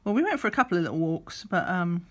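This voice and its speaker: A middle-aged woman, slightly croaky, chatting in a rambling way while doing a craft, sometimes counting under her breath. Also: fairly low pitch, lively intonation, fast, a bit bright. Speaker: crafting vlogger. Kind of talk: relaxed chatty craft vlog. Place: at a craft table indoors.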